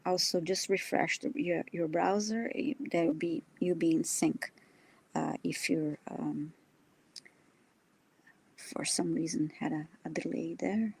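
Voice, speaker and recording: A woman co-hosting a live stream in casual chat, with a hesitant, halting way of talking. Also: fairly low pitch, fairly even intonation, creaky, darkish tone, slightly rough, poor audio.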